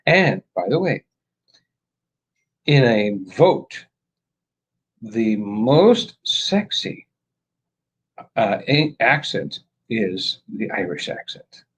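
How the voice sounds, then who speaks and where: A man's voice: mid-range voice, sing-song, drawn-out words, long pauses, slightly rough, rough recording. A man co-hosting a casual live-stream chat, telling jokes in a playful storyteller's manner.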